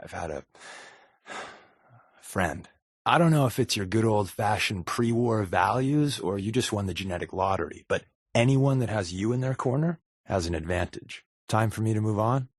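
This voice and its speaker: A wry, secretly wounded undercover agent from a video game. His voice is a lowish man's, husky and recorded close and dry. He talks conversationally, with deadpan sarcasm. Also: dry recording. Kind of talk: video game companion dialogue.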